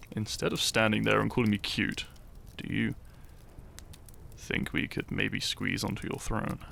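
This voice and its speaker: A man playing a shy, teasing, lovestruck young hero in an intimate romantic audio roleplay, speaking softly and hesitantly with small stammers. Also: fairly low pitch, slight background noise. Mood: flustered, coy. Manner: soft, hesitant, stammering, breathy sighs and chuckles.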